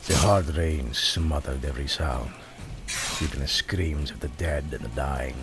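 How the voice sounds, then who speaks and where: A man playing a seasoned, proud and loyal Italian assassin in an audio drama, measured, dropping Italian words into his talk. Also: very deep voice. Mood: grave, proud. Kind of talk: scripted audio drama dialogue. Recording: music behind the voice.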